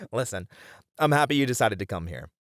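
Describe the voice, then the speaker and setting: A man's voice: medium pitch, expressive, normal speed, fairly bright tone, dry recording, clean studio sound. A man playing a friendly young bunny boy, a chatty and welcoming manga cafe regular, in a casual ASMR roleplay. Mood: friendly, inviting. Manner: chatty, easygoing, welcoming, with casual slangy hesitations.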